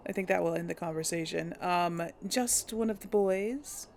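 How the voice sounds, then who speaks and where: A woman with a creaky voice giving casual, easygoing game commentary, musing to herself as she plays. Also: fairly low pitch, moderate pace, darkish tone, slight background noise. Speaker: let's play host. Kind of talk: casual game commentary with light role play. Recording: faint background game ambience.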